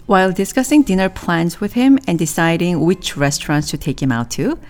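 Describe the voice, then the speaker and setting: A woman teaching a language in a scripted podcast lesson, saying model phrases slowly and clearly for listeners to repeat. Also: fairly low pitch, big pitch swings, darkish tone, faint music behind.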